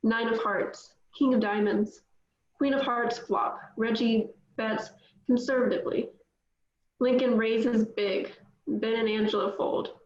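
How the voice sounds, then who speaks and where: A woman reads stage directions in a steady, plain way, her voice a little high. The recording sounds echoey and dull, like lo-fi audio in a roomy space. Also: a little slow. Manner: plain, steady reading with small slips. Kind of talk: reading stage directions at table read.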